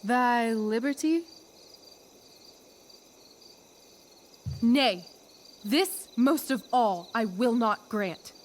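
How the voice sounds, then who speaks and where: A teenage girl performing as an imperious sorceress in a game's school play. Her voice sits fairly high and she declaims archaic verse slowly and deliberately. Crickets chirp faintly behind her. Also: lively intonation, a little hiss or hum. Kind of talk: theatrical Shakespearean stage recitation in a game cutscene.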